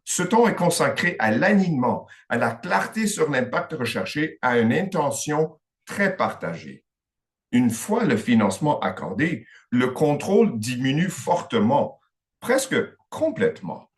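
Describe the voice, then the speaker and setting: A man on an online panel describing a funding example in a measured, deliberate way with occasional restarts. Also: medium pitch, very animated. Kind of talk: explaining an example on a webinar panel.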